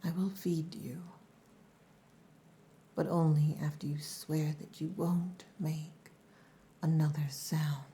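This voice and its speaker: Woman doing a bossy possessive orc wife, dark mellow voice, soft and breathy, real slow, asmr roleplay. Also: flat delivery, a little hiss or hum. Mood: strict, grudging care. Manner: low, slow, commanding, often breathy near-whisper. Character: stern possessive orc wife, gruff huntress caretaker.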